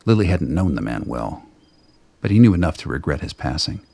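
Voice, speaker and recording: Very deep, gravelly male narrator. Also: very animated, dark tone, no echo. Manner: measured, literary storytelling. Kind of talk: scripted dramatic narration for a radio play.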